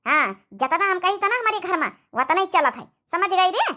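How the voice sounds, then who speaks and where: A woman's voice: extremely high pitch, expressive, moderate pace, sharp tone, heavily acted. A woman playing a strict, scolding village mother-in-law in a cartoon comedy, with an Awadhi accent and a nagging, domineering manner. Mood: stern, scolding.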